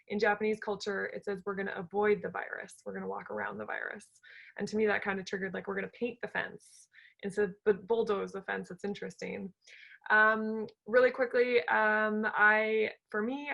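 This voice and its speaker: A woman hosting an informal panel, talking in a halting, rambling way as she thinks aloud. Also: medium pitch, creaky, fairly bright tone. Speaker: panel host, entrepreneur.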